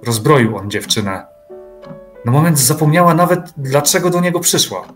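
A man's voice: a little high, normal speed, a bit bright, soft background music, lightly acted. A male narrator playing a composed storyteller, reading scripted literary narration in an audio drama of an uncanny tale. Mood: composed, suspenseful.

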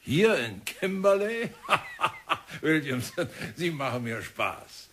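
An actor playing an impatient, scornful mining company boss in a German radio play, with a mocking, dismissive manner. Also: male voice, a little high, big pitch swings, slow pace, a bit bright, a little hiss or hum, full character voice. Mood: amused scorn. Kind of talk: German radio drama acting.

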